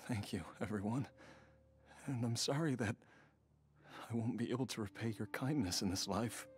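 An actor playing a dying middle-aged husband and father, speaking softly and with quiet resignation. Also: medium pitch, normal speed, faint music behind, lightly acted. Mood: resigned. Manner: soft, resigned, wistful.

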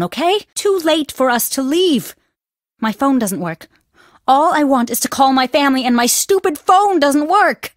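A woman's voice: a little high, no room sound, clean studio sound. An actress playing a nervous, superstitious young woman student in a video game, fretful and easily spooked. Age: young adult. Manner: anxious, fretful, quick to panic. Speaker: game character, student on a dig.

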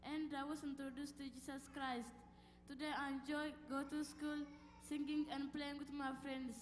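A woman's voice: high voice, normal speed, soft background music. A girl from Ethiopia reciting a memorized testimony and scripture. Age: child. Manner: flat, memorized delivery. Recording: echoey church hall with faint hum.

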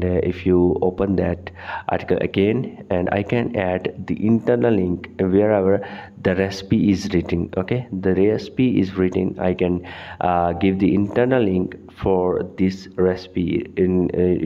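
Low gravelly man with a dull lo-fi sound. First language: Urdu. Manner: halting, many fillers and restarts. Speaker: tutorial host. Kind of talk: screen-recorded tutorial.

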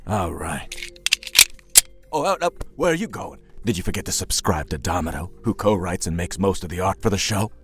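A man's voice: low-pitched, lively intonation, moderate pace, over music. A man playing a hot-tempered, sarcastic mobster in a cartoon audio drama. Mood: pushy, threatening.

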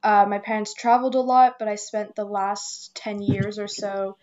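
A woman around twenty talks casually as a podcast co-host. Her voice sits at a middle pitch, and the recording is a little dull and lo-fi. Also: fairly even intonation, moderate pace, darkish tone. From Hong Kong, French-speaking household. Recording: slightly dull, muffled microphone sound.

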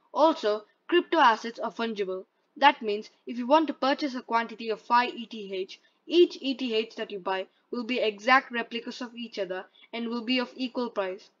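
A woman presenting a step-by-step tutorial in a plain, instructional way, reading from a script. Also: on the high side. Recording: dull lo-fi voice-over.

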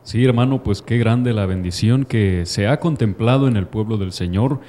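Young man with a mellow voice. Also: fairly low pitch, moderate pace. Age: young adult. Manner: formal, devout. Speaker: news presenter. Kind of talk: live religious news report. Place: TV studio. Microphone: handheld microphone.

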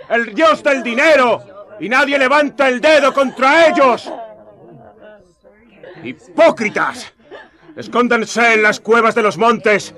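An actor playing a bold, sardonic Galilean prophet in a colloquial radio drama, speaking pointedly and tauntingly. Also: male voice, very high-pitched, pushed and bright, full character voice. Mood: righteous fury. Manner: pointed, taunting rhetorical questions. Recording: crowd noise behind the voice.

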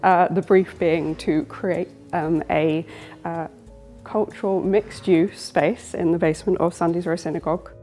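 A woman's voice: on the low side, steady tone, a little slow, dark tone, faint music behind. A young woman, an interior design student, speaking reflectively and hesitantly with ums, in an ornate synagogue hall. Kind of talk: reflective presentation.

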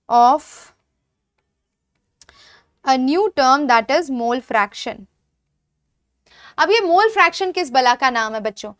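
A woman's voice: high voice, a bit bright, no echo, clean studio sound. A young woman with an Indian accent teaching an online chemistry class step by step, mixing Hindi and English and often checking that students follow. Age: young adult. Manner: addresses students affectionately, checks understanding often; switches between Hindi and English, dictating slowly. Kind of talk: online lecture, explaining step by step. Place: indoor studio, seated before a digital board.

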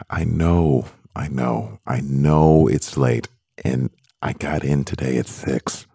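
A man playing a stressed, devoted CEO boyfriend in an intimate ASMR roleplay, murmuring with a husky, gravelly voice and pauses. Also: a bass voice, dark tone, dry recording, clean studio sound, exaggerated voice. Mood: weary, tender.

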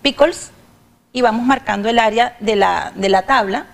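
Middle-aged woman, gravelly fry, fairly high voice, halting explanations, lapel mic in a studio kitchen. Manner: halting, restarts while working with hands. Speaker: catering expert guest. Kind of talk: demonstrating while explaining.

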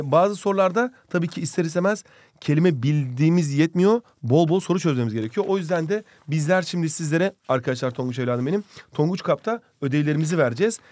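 A man's voice: on the high side, a little mellow, no room sound. A man with a Turkish accent teaching an English lesson in a jokey way, playfully scolding as he goes. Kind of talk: scripted lesson with playful banter.

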